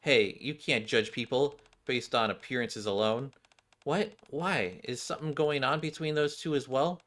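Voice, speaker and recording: A gossiping schoolgirl in a video game, read out by a man at mid pitch. She is nosy and casual, full of chatter. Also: moderate pace, fairly bright tone, lightly acted.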